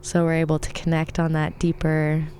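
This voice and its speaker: A woman chatting as a podcast guest in a slightly raspy, sniffly, congested voice, speaking reflectively with pauses. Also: low-pitched, monotone, darkish tone, slight background noise. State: sniffly with allergies. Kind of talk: casual podcast conversation.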